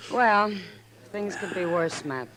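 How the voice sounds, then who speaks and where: A woman's voice: mid-range voice, animated, slow pace. Thoughtful frontier woman, crowd behind. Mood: troubled, reflective. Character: thoughtful, concerned frontier woman, marshal's confidante. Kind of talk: 1950s radio western acting. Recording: old radio drama, murmuring crowd behind.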